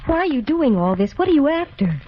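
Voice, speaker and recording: Frightened high-voiced captive, old radio. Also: female voice, very animated, fairly quick, slight background noise. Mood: fearful. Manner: anxious, breathless repetition, melodramatic. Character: frightened, pleading young woman held captive. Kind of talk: 1940s radio drama acting. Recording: muffled old radio, music behind.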